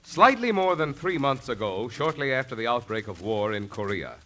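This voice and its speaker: A man's voice: medium pitch, big pitch swings, moderate pace, a bit bright. A man announcing in formal, scripted 1950s radio style. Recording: old 1950s radio broadcast, light crackle.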